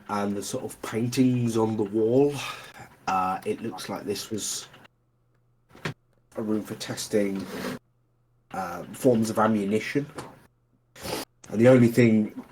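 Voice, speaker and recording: A man narrating as dungeon master in a casual, hesitant way, with many pauses and fillers. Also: on the low side, darkish tone, a little hiss or hum, rough recording. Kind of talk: narrating a tabletop game.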